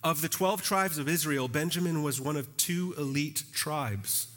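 A male pastor delivering a sermon in a relaxed, conversational way, animated and using casual phrasing. His voice sits a bit higher than most men's. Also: a little slow. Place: church.

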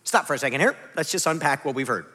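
A man in his forties preaching a conversational sermon, animated and self-deprecating. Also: on the high side, fast. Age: 40s. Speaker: pastor. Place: large church hall. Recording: long hall reverb.

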